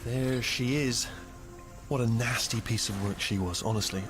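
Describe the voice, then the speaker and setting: An English actor playing a bumbling, chatty robot sidekick in a video game, rambling, stammering and correcting himself in a flustered way. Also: male voice, mid-range voice, moderate pace, over music, lightly acted. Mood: gossipy. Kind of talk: animated comic video game acting.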